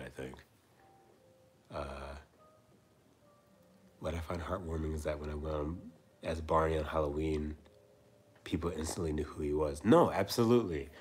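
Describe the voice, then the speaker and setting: A man in his twenties hosting a casual livestream chat, rambling and repeating himself with soft trailing asides. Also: sits low, slightly rough. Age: 20s. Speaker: livestream host.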